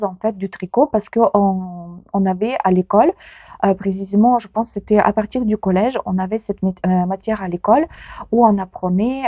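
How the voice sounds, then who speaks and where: A woman in her thirties with a strong Ukrainian accent, chatting to camera about her hobby and pausing and restarting often. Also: mid-range voice, no room sound, highs cut off. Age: 30s. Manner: hesitant, many pauses and restarts. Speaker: knitting vlogger. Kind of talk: casual chat to camera.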